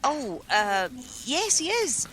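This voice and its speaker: A woman's voice: high-pitched, big pitch swings, slow, a bit bright, slight background noise, exaggerated voice. A woman playing a flustered, well-meaning helpline office worker in a naturalistic radio play, speaking hesitantly.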